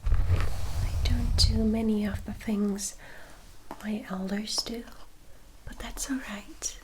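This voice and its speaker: A woman playing a sweet, dutiful young vampire sister in a whispered ASMR roleplay, speaking softly, tenderly and a little hesitantly. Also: medium pitch, steady tone, noisy background, close to an everyday voice. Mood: wistful, gentle. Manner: soft, hesitant, tender, unhurried.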